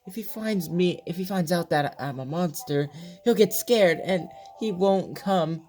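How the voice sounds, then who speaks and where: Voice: mid-range voice, unhurried, a little mellow, soft background music, pitched above the performer's own voice, close to an everyday voice. A gaming host voicing the anxious inner thoughts of a shy female wolf monster from a storybook game. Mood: worried.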